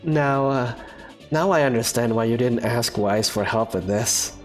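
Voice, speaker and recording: A woman's voice: a bass voice, a little slow, soft background music, pitched below the performer's own voice. A woman voicing a nervous young male student assistant who stammers and frets. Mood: flustered. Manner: jittery, stammering, anxious. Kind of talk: fan game voice acting.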